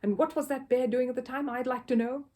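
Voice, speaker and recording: A woman's voice: sits high, steady tone, fairly quick, warm tone, pitched above the performer's own voice, close to an everyday voice. Woman acting out a blustering, indignant grumpy older man. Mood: furious, indignant. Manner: bellowing, spluttering, indignant. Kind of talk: children's storybook character voice.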